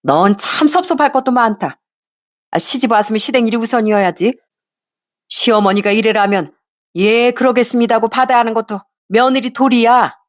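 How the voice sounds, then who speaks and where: A woman voicing a bossy older mother-in-law who nags and yells at her daughter-in-law. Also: a little high, normal speed, voice pushed up, lightly acted. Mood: scolding. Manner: sharp, nagging, raises voice to yell.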